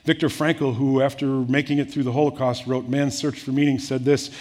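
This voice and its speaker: An older man preaching a sermon in an earnest way, rising to emphatic peaks and then dropping soft. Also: medium pitch, level tone, a little fast. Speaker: pastor.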